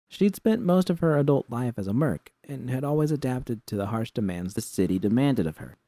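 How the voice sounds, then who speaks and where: A man's voice: mid-range voice, warm tone, no room sound. A man reading a scripted first-person story as its narrator. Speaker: narrator of fan fiction.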